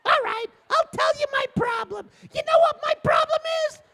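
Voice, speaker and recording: A man's voice: very high voice, moderate pace, creaky, sharp tone, voice pushed up, heavily acted. A man voicing a distraught, brusque crying mother in an oral story, wailing and impatient. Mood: exasperated, upset.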